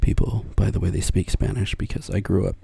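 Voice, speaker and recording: An American man talking soft-spoken for ASMR, often slipping into a whisper, rambling and correcting himself as he goes. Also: sits low, little pitch movement, brisk, vocal fry, breathy edge, no echo. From United States. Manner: meandering, self-correcting, chuckles softly. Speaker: ASMR creator.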